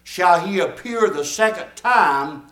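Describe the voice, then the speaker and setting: Older preacher in an echoey church. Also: male voice, sits high, expressive, takes it slowly, a bit bright. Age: 70s. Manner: folksy, emphatic preaching with audience call-and-response. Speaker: pastor. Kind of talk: sermon.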